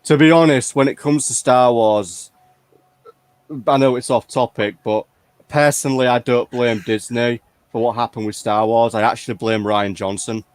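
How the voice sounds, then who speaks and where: Male fan-chat host, average pitch, informal delivery, very dry recording. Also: fairly even intonation, moderate pace, clean tone, no echo. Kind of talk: casual chat.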